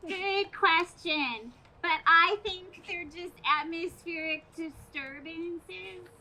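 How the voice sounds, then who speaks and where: A woman playing a dithering, evasive astronomer in a comic stage radio play, halting and reciting by rote. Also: extremely high pitch, bright, forceful tone, heavily acted, rough recording. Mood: vague, reassuring. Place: outdoor stage performance.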